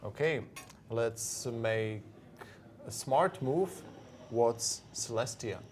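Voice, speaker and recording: A man's voice: medium pitch, animated, very slow, a little mellow. A man hosting a live talk show in a hookah lounge, asking short questions and murmuring along. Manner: short prompts and frequent backchannel murmurs. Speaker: host.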